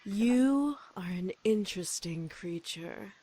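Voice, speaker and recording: Slow, sly, menacing seductress. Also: female voice, mid-range voice, animated, no room sound. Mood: sly teasing. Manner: slow, teasing, hushed. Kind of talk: visual novel voice acting.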